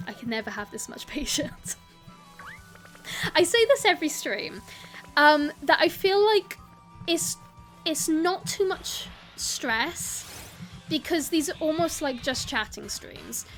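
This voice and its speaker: Young woman, South Welsh accent, high voice, giggly and chatty, streaming with soft game music behind. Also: animated, takes it slowly, long pauses. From South Wales. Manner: chatty, giggly, greets viewers, rambling asides. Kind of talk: casual live-stream chat while gaming.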